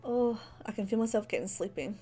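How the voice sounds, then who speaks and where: A Scottish woman with a central belt accent talks easily into a desk microphone, mid-pitched and chatty. She rambles from thought to thought, full of "um"s and small laughs. Faint music plays softly behind her. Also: a little fast, slightly rough. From Scotland. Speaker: streamer. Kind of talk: casual livestream chat while drawing. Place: home desk. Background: faint background music.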